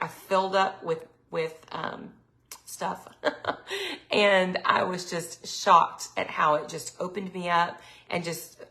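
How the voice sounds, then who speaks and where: A middle-aged woman, chatting casually on a live sales stream, her throat a little raspy from allergies. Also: lowish voice, sharp tone. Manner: chatty, lots of ums, reads viewer comments aloud. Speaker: product consultant hosting a live sales stream.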